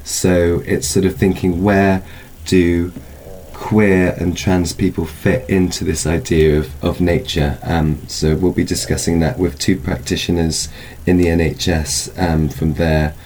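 A man's voice: sits low, level tone, unhurried, a little mellow, slight background noise. A man speaking as a festival guest, explaining an event informally in hesitant, thoughtful phrases. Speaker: film festival spokesperson, guest.

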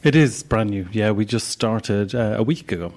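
A man's voice: on the low side, expressive, normal speed, darkish tone. An Irish man, a choir's musical director, chatting affably as a guest on a radio talk show. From Ireland.

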